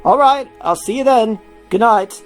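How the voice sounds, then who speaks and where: Easygoing young rock star, male read by a woman, light high voice, narrated story with soft music. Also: a little slow, close to an everyday voice. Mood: friendly.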